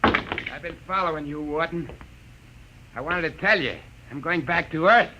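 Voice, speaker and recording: An American actor playing a menacing, paranoid, murderous spaceship mechanic in old radio drama style, taunting and threatening. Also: male voice, fairly high pitch, normal speed, bright, forceful tone, highs cut off. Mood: menacing, gloating. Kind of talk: 1950s radio drama acting. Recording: old radio recording with faint noise.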